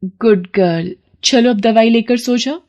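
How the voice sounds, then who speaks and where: A woman's voice: on the high side, measured pace, a little mellow, lo-fi sound, close to an everyday voice. A woman voicing a meek, caring young daughter-in-law in a family moral story, polite and soothing.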